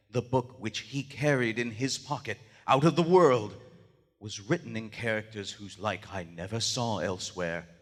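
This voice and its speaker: A shaken, haunted man of letters as a male actor plays him speaks in ornate, old-fashioned, dramatic phrases. His medium-pitched voice rings through a large theater hall in a live radio horror play. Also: natural voice. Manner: formal, archaic, dramatic diction. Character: haunted, shaken writer of weird fiction, a man.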